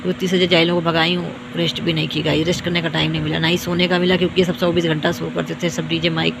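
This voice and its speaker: A hoarse, tired young woman from India, talking to her viewers in a repetitive, boastful and scolding way. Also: fairly low pitch, little pitch movement, fast talker, background noise. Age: young adult. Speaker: vlogger. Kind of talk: self-filmed rant to viewers.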